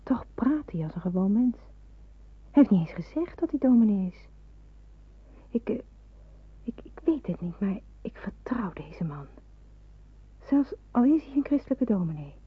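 An actress playing a young refugee woman in hiding in a radio drama, soft, hesitant and earnest. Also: medium pitch, sing-song, moderate pace, pauses often, husky. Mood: reflective, devout. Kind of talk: Dutch radio drama acting. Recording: muffled, phone-like old radio recording.

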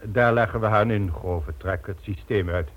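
An actor as smug, low-voiced crime boss. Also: male voice, moderate pace, natural voice. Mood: calculating, assured. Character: cool, calculating male crime boss, methodical and self-assured. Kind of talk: Dutch radio drama acting. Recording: dull old radio recording.

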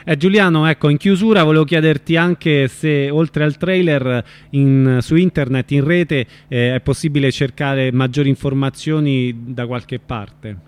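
A man hosting a radio interview in an informal, somewhat halting way. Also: on the high side, fairly even intonation, clean tone.

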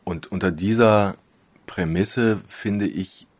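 A man's voice: on the low side, slow pace, no room sound. A man explaining his artistic decisions in reflective, unscripted talk.

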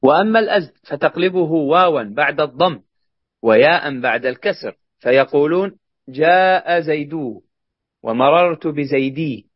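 A man's voice: on the high side, moderate pace, dry recording, muffled recording. A man reading a grammar text aloud.

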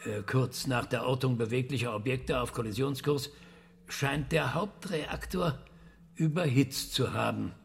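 An actor playing a patient professor in his sixties who questions carefully and deliberately in a radio drama. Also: mid-range voice, vocal fry, slight background noise. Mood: probing, patient. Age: 60s. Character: 68-year-old male professor, patient, careful, probing questioner.

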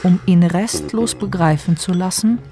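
A woman's voice: lowish voice, a little slow, warm tone. A woman narrating a literary radio play as a composed storyteller.

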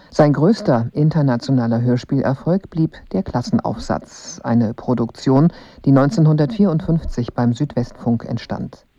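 A man's voice: medium pitch, warm tone, dry recording. A man reading a scripted radio introduction in German broadcast style as an announcer. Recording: dull, dry studio broadcast recording.

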